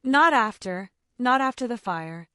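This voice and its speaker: A woman's voice: on the high side, lively intonation, measured pace, bright, forceful tone, clean tone, no room sound. Tormented rich young man, pleading and urgent, read by a woman in her own voice; dry studio sound. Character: tormented young male heir, commanding.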